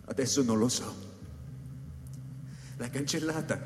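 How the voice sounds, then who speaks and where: An actor playing a haunted, lovestruck scientist who narrates a radio drama with intense, broken phrasing. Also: male voice, mid-range voice, unhurried, background noise, natural voice. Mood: grave, subdued. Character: haunted male scientist narrating, tormented and in love. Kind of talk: theatrical radio drama, first-person narration and dialogue. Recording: roomy, long hall reverb under music. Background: music.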